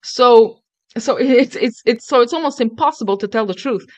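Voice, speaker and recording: A woman's voice: on the high side, normal speed. A young woman explaining her thoughts step by step in a conversational discussion, speaking into a close desk microphone. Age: young adult. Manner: explanatory, step-by-step, checking in with okay and right. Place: small indoor room, video call. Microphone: close desk microphone on a boom arm, headset.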